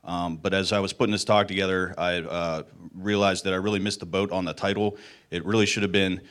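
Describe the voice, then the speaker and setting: A middle-aged man with a slightly hoarse voice, giving an informal technical conference talk in a casual, self-deprecating way. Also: sits low, little pitch movement, fairly quick, a bit bright. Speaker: conference speaker, security architect.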